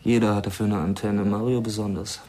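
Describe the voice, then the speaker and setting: A man acting a guarded informant from a show troupe in a radio crime play. The voice sits fairly low, and the talk is clipped, slangy and offhand. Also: fairly even intonation, brisk, warm tone, lightly acted. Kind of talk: radio crime drama dialogue.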